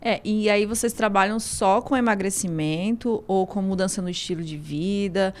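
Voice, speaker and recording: A woman's voice: mid-range voice, moderate pace. A woman host with a Northeastern Brazilian accent, chatting in an upbeat, talkative way as she runs a casual interview. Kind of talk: casual talk-show interview.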